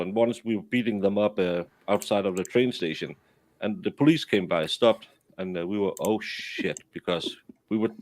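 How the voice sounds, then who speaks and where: A man's voice: lowish voice, no echo. Man telling rambling stories, dry sound. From Denmark. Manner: rambling storyteller with many restarts. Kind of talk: casual chat on a stream.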